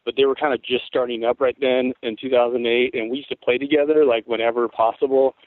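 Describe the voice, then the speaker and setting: Guy in his 40s w/ a rough sandpapery voice, laid-back rambling stories, tinny dry line. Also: mid-range voice, little pitch movement, quick pace, no echo. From Tacoma, Washington. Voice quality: rough, worn voice. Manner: rambling, laid-back storytelling with frequent swearing. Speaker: guest musician. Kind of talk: casual interview chat.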